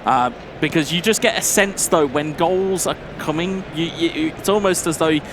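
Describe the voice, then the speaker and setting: A man's voice: a little high, normal speed. Reflective male co-commentator over background noise. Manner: reflective, hesitant with fillers. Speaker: co-commentator, former competitor. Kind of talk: live esports commentary analysis.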